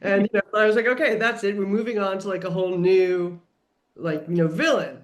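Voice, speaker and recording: A woman's voice: mid-range voice, steady tone, a little fast. Female podcast guest, loose conversational delivery, very informal phrasing. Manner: informal, peppered with "like". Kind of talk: casual chat.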